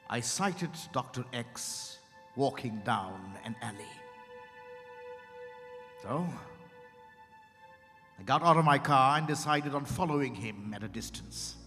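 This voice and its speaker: An actor playing a dramatic male storyteller, narrating a literary piece in a theatrical, deliberate manner. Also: medium pitch, a bit bright. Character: dramatic male storyteller recounting a strange encounter. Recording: echoing hall with music behind. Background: music.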